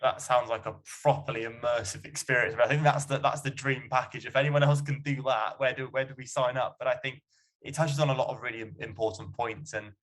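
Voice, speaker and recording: A man's voice: medium pitch, fairly even intonation, brisk, fairly bright tone. A man hosting a student question-and-answer panel in a conversational, encouraging way. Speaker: panel host.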